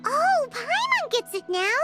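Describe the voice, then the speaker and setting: A woman voicing a small, excitable childlike fairy companion in a video game, squeaky and chirpy. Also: measured pace, heavily acted. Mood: excited. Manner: chirpy, refers to self in third person. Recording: loud game music behind. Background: game music.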